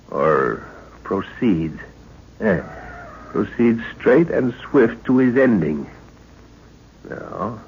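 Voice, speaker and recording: An eager, muttering old professor, swooping pitch. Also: male voice. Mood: absorbed, muttering. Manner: hesitant muttering to himself, exclaiming, avuncular. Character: older professor father, eager absent-minded adventurer and scholar. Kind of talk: 1940s radio adventure serial acting. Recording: muffled, noisy old-time radio recording.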